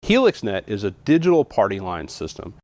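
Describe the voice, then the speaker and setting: A man's voice: on the low side, wildly swooping pitch, fairly quick, darkish tone. A man giving a scripted, methodical product walkthrough in an instructional way, as a trainer would.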